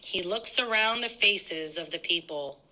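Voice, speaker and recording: Bright-voiced woman narrating a play. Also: lowish voice, measured pace, low-quality recording. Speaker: narrator reading stage directions. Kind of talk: scripted dramatic narration.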